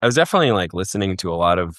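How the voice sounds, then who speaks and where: A young man from Egypt with a very low voice talks casually on a podcast, circling his thoughts with lots of fillers and restarts. The sound is dry and close, with no room around it. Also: sing-song, rapid-fire, fairly bright tone, no room sound. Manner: rambling, many fillers and restarts. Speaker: guest, festival booker.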